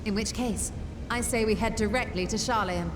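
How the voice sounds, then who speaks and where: An eager, scholarly young adventurer voiced by an actress in a fantasy game. She is a confident, courteous woman who phrases things formally and clearly. Her medium-pitched voice sits over a clearly audible rumble. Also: moderate pace, close to an everyday voice. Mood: earnest, businesslike. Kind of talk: video game cutscene acting.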